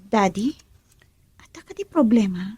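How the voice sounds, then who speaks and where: An actress playing a dutiful, gentle young university student daughter in a radio drama, with a Filipino accent. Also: female voice, medium pitch, animated, measured pace, breathy edge, no room sound. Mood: respectful, concerned. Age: young adult.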